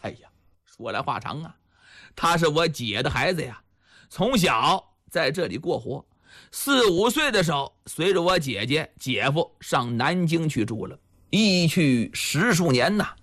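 A man's voice: on the high side, big pitch swings, a little slow, fairly bright tone, voice pushed down, full character voice. A man voicing a bold, crafty village headman with a commanding, theatrical manner in a storytelling performance. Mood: feigned explaining. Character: Chao Gai, bold crafty village headman, feigning an angry uncle.

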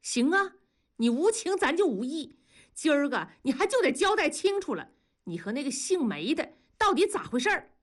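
Woman acting a fierce, scolding wife, high-pitched. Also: very animated, a little fast, husky. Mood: accusing. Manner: scolding, interrogating, goading. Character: fierce, sharp-tongued wife and mother, jealous and scornful. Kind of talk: audiobook character dialogue.

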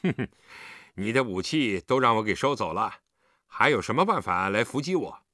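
一名男子在有声故事中扮演一个和善、护着孩子又不失坚定的成年男子，语气循循善诱。. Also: male voice, on the low side, animated, moderate pace, sharp tone, no room sound, clean studio sound. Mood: teasing, coaxing. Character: kind, protective grown man, teasing yet firm.

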